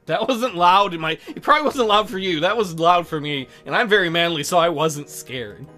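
Lively, bright-voiced crude male gamer. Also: high voice, brisk, soft background music. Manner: crude, jokey, rambling. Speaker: let's player. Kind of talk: casual joking game commentary.

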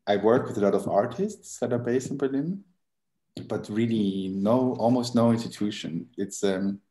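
Man, 30s, low-ish voice, hesitant designer on an echoey video call. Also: a little mellow. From Switzerland. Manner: hesitant, many restarts and fillers. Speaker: book designer. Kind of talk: casual chat. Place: room with bookshelves. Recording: roomy video call audio.

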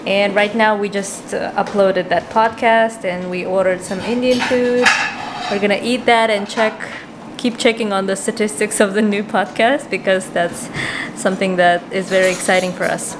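A woman's voice: mid-range voice, fairly even intonation, moderate pace, noisy background. Chatty young woman vlogging to camera. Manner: chatty, laughs between phrases, many fillers. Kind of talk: casual vlog talk to camera. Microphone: handheld camera close to the face.

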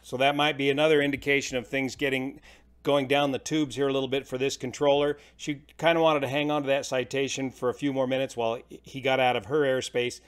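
A man's voice: mid-range voice, level tone, fairly quick, clean tone. A middle-aged American man giving informal, colloquial explanatory commentary as an aviation host.

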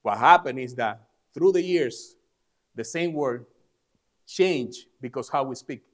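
A man's voice: medium pitch, expressive, takes it slowly. A male pastor, a native Spanish speaker, giving an emphatic sermon in a church service, saying each line in English and then repeating it in Spanish. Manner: emphatic, stressing key words. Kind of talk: bilingual sermon.

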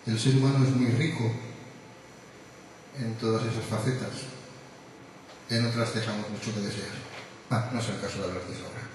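A man's voice: lowish voice, flat delivery, moderate pace, pauses often, a little mellow, recorded from a distance, a little hiss or hum. A middle-aged man with an accent from Spain gives an informal, earnest and reflective spoken introduction to a song over a handheld microphone. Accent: Spanish from Spain. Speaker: amateur singer introducing a song. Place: small indoor room. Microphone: handheld microphone through a PA, camera a few meters away. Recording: very echoey microphone sound in a room.

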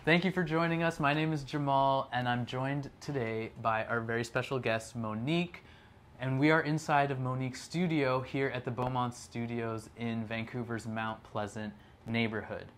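An energetic young man hosting a relaxed interview, talking at an average pitch with easy, upbeat enthusiasm. He is close on a lapel mic in a small indoor studio. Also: normal speed. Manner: upbeat, energetic, laughs often. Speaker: host. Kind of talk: casual interview.

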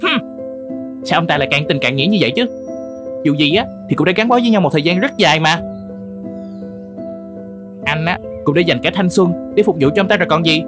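A woman's voice: on the low side, animated, fairly quick, long pauses, natural voice. A woman voicing a crafty, friendly fox in a cartoon folk tale, chatty and confident. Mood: curious, sympathetic. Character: crafty, friendly, self-assured fox, loyal helper. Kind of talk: animated folk tale dialogue. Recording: music under the voice.

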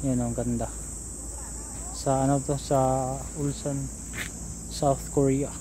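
A Filipino man in his thirties with a Filipino accent, strolling a seaside park path and pointing things out to his viewers. His delivery is slow and level, with little rise or fall in pitch. Insects and outdoor ambience are clearly heard behind his voice. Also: little pitch movement, noisy background. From Philippines. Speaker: vlogger. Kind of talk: casual walking tour vlog. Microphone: phone held at arm's length.

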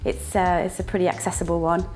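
A woman's voice: fairly low pitch, little pitch movement, fast talker, darkish tone, faint music behind, rough recording. A young woman with a northern English accent, chatting playfully as she cooks, laughing often and making little sound words. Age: young adult. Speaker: student cooking presenter. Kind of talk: casual cooking demonstration. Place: small indoor kitchen.

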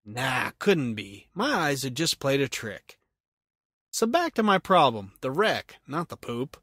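A male podcast host with a lively, swinging delivery and a jokey, self-deprecating way of talking. He sounds close and dry, at a middling pitch, as he carries a casual solo show. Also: unhurried, husky, no room sound.

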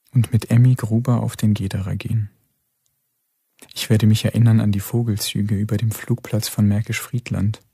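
An actor playing a reflective man who recalls the beauty of the earth in a slow poetic radio-play monologue. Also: lowish voice, creaky, dark tone, slightly rough, dry recording, studio quality, exaggerated voice.

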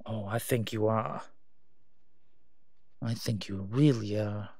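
A man's voice: on the low side, fairly even intonation, gravelly, a little mellow, no echo, clean studio sound, exaggerated voice. Man acting out a sleazy, menacing crime boss. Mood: quietly threatening. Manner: oily, taunting, drawn-out delivery with stalling pauses. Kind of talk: solo audio roleplay acting.

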